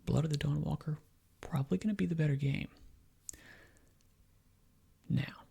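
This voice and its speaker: A man giving soft-spoken ASMR gaming commentary in a breathy half-whisper, rambling and opinionated in a conversational way. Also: a little high, normal speed. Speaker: gaming video host.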